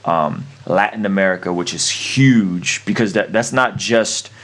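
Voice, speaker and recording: A man's voice: low voice, measured pace, fairly bright tone. A man whose first language is Russian, hosting a casual interview, enthusiastic and affirming. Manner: affirming, lots of "yeah", enthusiastic. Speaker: podcast host.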